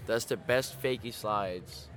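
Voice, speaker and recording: Man, relaxed and jokey interviewee, bright tone, outdoors. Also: lowish voice, slow. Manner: laid-back, joking. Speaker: interviewee, rider.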